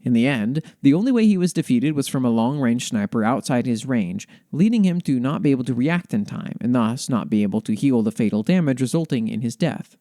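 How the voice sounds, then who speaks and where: Male host, mellow relaxed tone, mid pitch, measured lore reader with thoughtful commentary. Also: dry recording, clean studio sound. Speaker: podcast host. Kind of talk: reading lore aloud with commentary.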